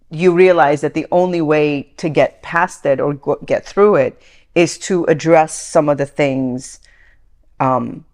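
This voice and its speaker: A woman's voice: low voice, a little mellow. A woman in her forties, born in Iran, speaking earnestly and reflectively as an interview guest, with frequent restarts and fillers.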